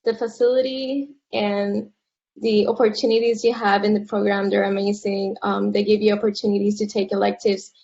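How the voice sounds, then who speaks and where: Woman with a gravelly voice. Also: medium pitch, little pitch movement, normal speed, a little mellow, muffled recording. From Puerto Rico. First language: Spanish. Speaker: graduate student. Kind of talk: student sharing experience.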